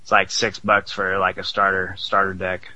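A man's voice: low-pitched, monotone, moderate pace, pushed and bright, dry recording, highs cut off. A man from the US Northwest co-hosting a podcast, rambling and joking casually. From Spokane area, US Northwest. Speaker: podcast co-host.

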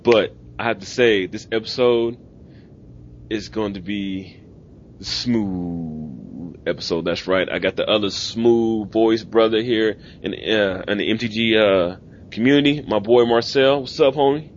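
A man's voice: fairly low pitch, unhurried, lo-fi sound, a little hiss or hum. A man hosting a podcast in casual chat, with laid-back slang and rambling talk. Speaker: podcast host. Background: music.

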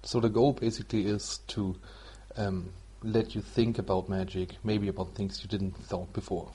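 A man from Germany with a German accent, hosting a solo podcast in an explanatory, somewhat halting non-native way. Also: on the low side, fairly even intonation, warm tone, husky. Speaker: podcast host. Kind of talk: explanatory solo podcast talk about card game strategy.

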